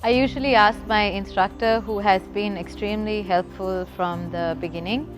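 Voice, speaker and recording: A young woman from Sri Lanka, a student pilot, speaking in a measured, slightly scripted testimonial. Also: mid-range voice, steady tone, music in the background, poor audio. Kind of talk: testimonial interview.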